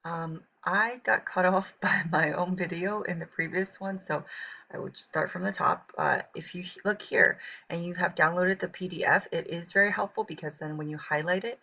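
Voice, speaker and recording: A woman teacher with a southern Taiwanese accent and a low, husky voice. She reads practice sentences slowly and evenly and breaks off for relaxed remarks to students, on a dull lo-fi recording. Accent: southern Taiwanese Mandarin. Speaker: Chinese language teacher. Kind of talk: recorded pronunciation lesson.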